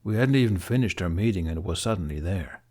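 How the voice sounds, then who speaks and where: A man's voice: low voice, expressive, quick pace, a little mellow, slightly rough. A man voicing a brash, self-important young male Viking in an audiobook, with a brusque, boastful manner. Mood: matter-of-fact.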